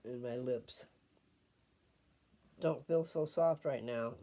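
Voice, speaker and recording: An older man chatting casually and reading scripture at home, unhurried and wandering as he thinks aloud. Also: medium pitch, dark tone.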